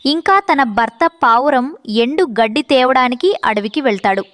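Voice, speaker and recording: A woman's voice: high-pitched, animated, normal speed, fairly bright tone, no echo. A woman narrator reading a scripted moral story for children.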